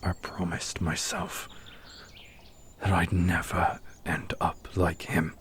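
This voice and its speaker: A man playing a brooding dark fantasy prince who is tender with his lover, in an intimate, hushed ASMR roleplay, gravelly and at times whispering. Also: sits low, level tone, a little hiss or hum. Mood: brooding, resolute. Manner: slow, hushed, deliberate with long pauses.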